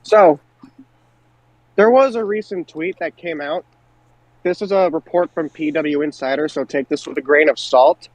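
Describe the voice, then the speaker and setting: A man's voice: on the high side, moderate pace, no echo, poor audio. A man co-hosting a podcast, speaking casually and informally as he discusses wrestling. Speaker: podcast co-host.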